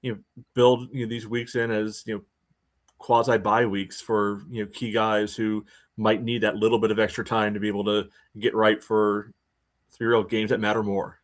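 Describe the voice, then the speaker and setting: A man co-hosting a sports podcast, giving casual soccer analysis in loose, halting, conversational phrases. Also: lowish voice, flat delivery.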